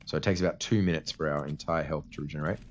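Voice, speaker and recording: A man's voice: very deep voice, steady tone, rapid-fire, very noisy. A man giving casual, explanatory commentary as a let's play host, his voice tired and cutting out slightly from a cold. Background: game music.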